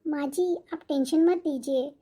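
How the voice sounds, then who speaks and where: Woman voicing young mother, cartoon family drama, very high pitch, mellow tone, aggrieved, polite. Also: a little slow. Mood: polite, dutiful. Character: put-upon, resentful young daughter-in-law and mother.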